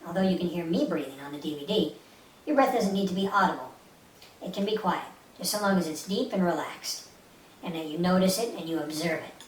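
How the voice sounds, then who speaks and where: Female meditation guide, soothing and instructive, slightly low register, recorded off-mic with light hiss. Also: animated, fairly quick. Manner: soothing, instructive, repeats short phrases. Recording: roomy, distant mic with faint hiss.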